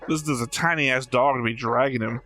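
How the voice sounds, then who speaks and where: A man's voice: medium pitch, quick pace, bright, forceful tone, faint music behind. A man commenting casually on a show as a reaction host, in a wry, joking way.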